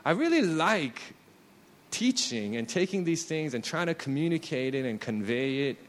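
Pastor guy in his late 30s, chatty self-deprecating preaching, pitch all over the place. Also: normal speed, a little hiss or hum. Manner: conversational, self-deprecating, animated. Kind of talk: sermon.

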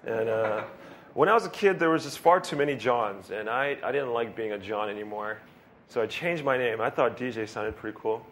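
A man's voice: mid-range voice, moderate pace, a bit bright, a little hiss or hum. A male pastor giving a casual, rambling sermon, joking about himself and laughing often. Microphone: handheld or stand mic with feedback.

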